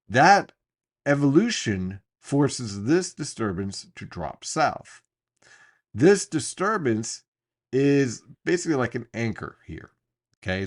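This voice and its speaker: A man presenting an unscripted weather briefing as a forecaster, explaining things emphatically with deliberate pauses. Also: mid-range voice.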